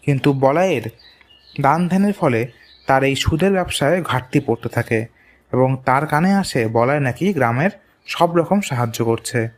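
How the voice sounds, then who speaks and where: A man's voice: mid-range voice, animated, moderate pace, slight background noise. A man narrating a scripted moral tale for a cartoon. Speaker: story narrator. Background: music.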